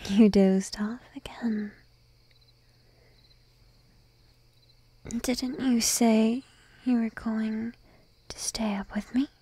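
Woman to play timid, clingy sheep-girl girlfriend for ASMR roleplay: breathy whisper, mellow tone, coaxing. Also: on the high side, steady tone, no room sound. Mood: tender, teasing. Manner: timid, coaxing, lingering pauses. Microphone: close microphone, dry.

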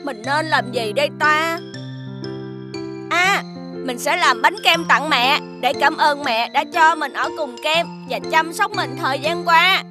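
A sweet little alien child, high and earnest, speaks with a Southern Vietnamese accent. It sounds like a children's cartoon, with loud music underneath. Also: female voice, a little slow. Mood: cheerful.

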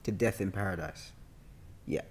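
A man's voice: low voice, moderate pace, breathy edge. A man in his thirties with a London accent, telling stories casually and colloquially as an interview guest on a podcast microphone. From London, England. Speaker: guest actor. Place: bright indoor room with sofa. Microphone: podcast microphone on boom arm.

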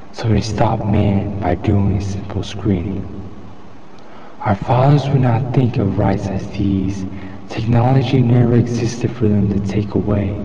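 A man reciting a poem in a solemn, measured, declamatory way. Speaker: poetry reader. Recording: muffled lo-fi with loud background rumble.

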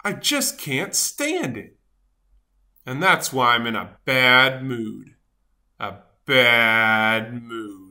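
A theatrical, fretful seed fellow from a children's storybook, acted out by a woman. He swoops up and down in pitch and draws his words out slowly in a bright, forceful tone. He sounds self-important and grumpy, yet good at heart. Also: full character voice. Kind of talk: animated children's storybook read-aloud.

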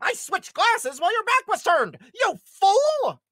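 A woman's voice: very high voice, sing-song, a little slow, a bit bright, no echo, clean studio sound, heavily acted. A woman voicing a manic, boastful male Sicilian schemer, cackling and exclaiming in a live comedic table read. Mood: manic gloating.

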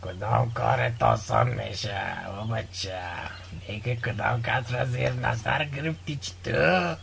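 An actor playing a sly, wheedling village news-carrier in a radio drama, with a boastful, theatrical storytelling manner and a gravelly voice. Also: male voice, medium pitch, animated, fairly bright tone, a little hiss or hum. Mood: sly, teasing. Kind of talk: radio drama acting in dialogue.

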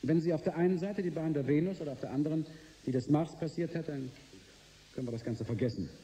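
An actor as a mellow, smug corporate boss. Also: male voice, on the high side, natural voice. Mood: calculating. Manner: suave, condescending, deliberate. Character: shrewd, smug male energy corporation boss negotiating hard. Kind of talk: German radio drama acting. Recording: roomy sound with faint noise.

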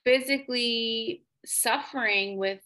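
A woman hosting a podcast interview, effusive and gushing, quick to laugh. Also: fairly high pitch, pushed and bright. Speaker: podcast host. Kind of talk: casual interview chat.

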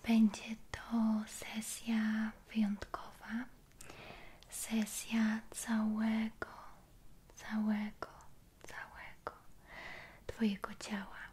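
A woman softly guiding a relaxation session in ASMR style, hushed and soothing, gently repeating her phrases. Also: medium pitch, slight background noise. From Poland. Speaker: ASMR creator, reiki session guide. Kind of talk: ASMR guided relaxation, soft-spoken and whispered.

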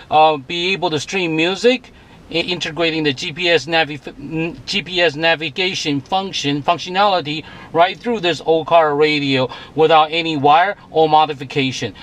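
A man in his forties giving a halting, repetitive product demonstration as presenter and seller. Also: fairly high pitch, fairly bright tone, rough recording. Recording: music playing behind the voice. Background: music.

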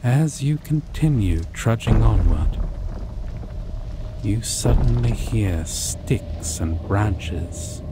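A man narrating a scripted second-person story in an ASMR roleplay, deliberately, with dramatic pauses. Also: fairly low pitch. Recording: loud steady background noise.

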